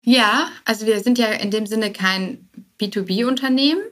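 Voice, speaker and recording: Halting, self-correcting woman in conversation. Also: fairly high pitch. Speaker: interview guest, start-up co-founder. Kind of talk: conversational podcast interview.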